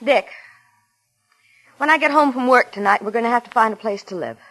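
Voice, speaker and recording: An actress playing an anxious, long-suffering American wife, earnest and pleading in vintage radio drama style. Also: female voice, on the high side, brisk, a bit bright, soft background music, low-quality recording. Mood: worried. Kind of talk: 1950s radio drama acting.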